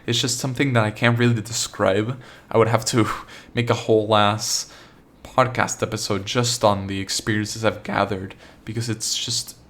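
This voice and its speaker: A young man talks through a solo podcast episode in a relaxed, meandering way. His voice sits a little low. He trips over his own sentences and leans on "like" as he thinks aloud, without any accent. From Argentina. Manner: rambling, full of "like" and restarts, laid-back. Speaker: podcast host. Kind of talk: casual solo podcast monologue.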